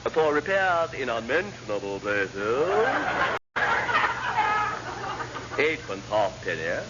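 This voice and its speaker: An actor playing a fussy, kindly naval padre with a pompous, churchy way of talking, in classic radio sitcom style. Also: male voice, medium pitch, big pitch swings, unhurried. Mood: officious, reciting. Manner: pompous, churchy, ornate phrasing. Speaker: ship's chaplain and welfare officer. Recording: muffled, noisy old radio comedy recording.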